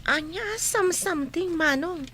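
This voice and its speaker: A woman's voice: very high voice, a little slow, fairly bright tone, a little hiss or hum. An actress playing a touchy, indignant young woman in an Ilocano comedy radio drama, protesting shrilly.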